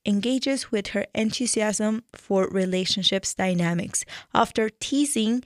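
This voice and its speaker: A woman from Peru with a Peruvian Spanish accent, a therapist hosting a radio show and reading a prepared introduction in a measured, earnest way. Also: medium pitch, no echo, clean studio sound. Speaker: radio show host and mental health therapist.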